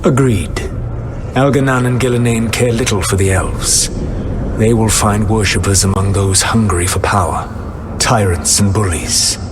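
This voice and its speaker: Game cutscene: a calm, formal ancient elven god, voiced by a man, slightly low voice, over clear background noise. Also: lightly acted. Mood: grave. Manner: calm, deliberate, formal speech. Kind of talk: video game cutscene acting.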